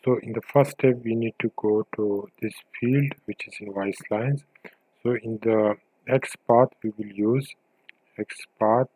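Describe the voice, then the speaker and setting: A man presenting a screencast software tutorial as a developer, explaining step by step in halting, pieced-together phrases. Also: lowish voice, fairly even intonation, slightly rough, no room sound, poor audio.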